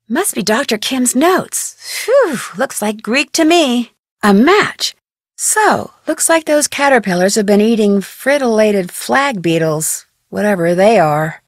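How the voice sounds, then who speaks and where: A woman playing an inquisitive, polite and persistent young American amateur detective, speaking scripted adventure game dialogue in an earnest, expressive way. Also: very high-pitched, no room sound, studio quality, heavily acted. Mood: musing. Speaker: video game voice actress.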